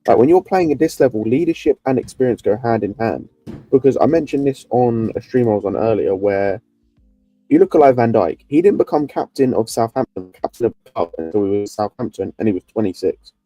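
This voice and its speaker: A man with a deep, mellow, very dark voice makes his argument in small steps, backing each point with an example. The sound is close and dry, with faint music underneath. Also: expressive, fairly quick, no room sound, poor audio. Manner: argumentative, explaining step by step. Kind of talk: casual football chat.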